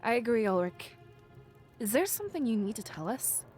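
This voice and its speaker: A bossy young-hearted rebel escort from a fantasy game. Her fairly high voice swings expressively, urgent and commanding, with soft music behind. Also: very animated, fairly quick. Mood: earnest. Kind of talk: visual novel game voice acting.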